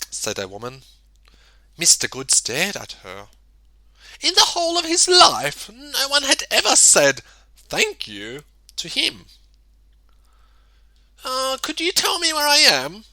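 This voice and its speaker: A man reading a children's story aloud in an expressive, theatrical way, with emphatic delivery and dramatic pauses. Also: medium pitch, no echo. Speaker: storybook reader.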